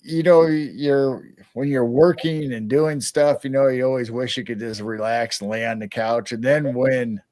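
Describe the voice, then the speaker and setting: A man's voice: mid-range voice, fairly even intonation, unhurried, hardly any pauses, darkish tone, no room sound. A man co-hosting a casual live-stream chat, rambling with frequent restarts. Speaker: co-host.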